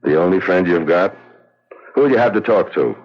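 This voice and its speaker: An actor playing a blunt, level-headed middle-aged confidant, needling and streetwise, in 1970s radio drama style. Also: male voice, deep voice, lively intonation, normal speed. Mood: wry, needling. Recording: muffled vintage radio broadcast, music behind.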